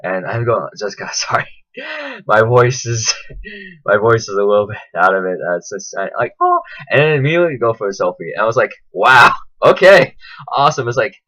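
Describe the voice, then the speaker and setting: A man telling a casual, enthusiastic vlog recap in a chatty, animated way. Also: fairly low pitch, fairly quick, muffled recording. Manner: animated, chatty, acts out quotes in raised voices. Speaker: vlogger.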